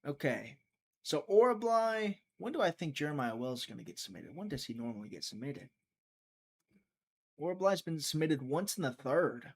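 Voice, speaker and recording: A man hosting a live prediction stream, chatting casually, thinking out loud and talking to his viewers, sometimes trailing off into a mutter. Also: a little high, very animated, normal speed, husky. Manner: chatty, thinks aloud, talks to chat.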